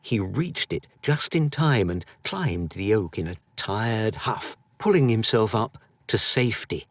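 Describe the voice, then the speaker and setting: A man narrating a children's story expressively, with dramatic, drawn-out emphasis. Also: on the low side, lively intonation, no echo. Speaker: audiobook narrator.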